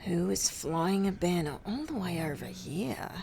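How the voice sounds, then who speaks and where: A man's voice: sits high, normal speed, slight background noise, voice pushed up, close to an everyday voice. A man voicing a hard-to-impress, brusque female innkeeper in a fantasy audiobook. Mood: wary. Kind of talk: audiobook character voice.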